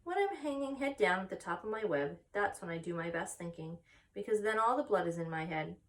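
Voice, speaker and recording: A woman's voice: lowish voice, lively intonation, fairly quick, fairly bright tone, recorded from a distance, low-quality recording. Storybook spider, played by a woman: female, motherly and wise, firm reassurance, emphatic instructions, heard a little off-mic. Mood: cool, assured.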